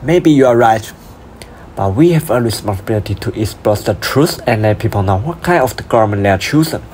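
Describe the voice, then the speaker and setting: A man's voice: on the low side, expressive, fairly quick, a little mellow, a little hiss or hum, lightly acted. A man playing an earnest, idealistic detective's partner in an amateur radio drama, in a solemn, declaiming way. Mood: resolute.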